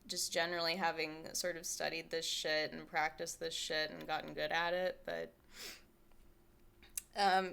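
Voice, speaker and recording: A woman streamer thinks out loud to her audience, her voice bright and light with little bass behind it. She swears easily, circles back on her thoughts and laughs through her sentences. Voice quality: small light voice without much bass. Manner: candid, profane, rambling, laughs often. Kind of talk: casual chat with stream viewers.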